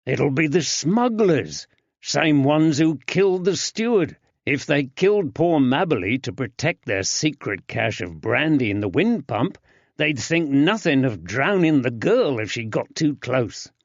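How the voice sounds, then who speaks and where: A man voicing a gruff, grey-browed older constable who talks bluntly and grumbles, in a dull, dry audiobook recording. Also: a little high, big pitch swings, a little slow, no room sound, voice pushed up, heavily acted. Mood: grumbling.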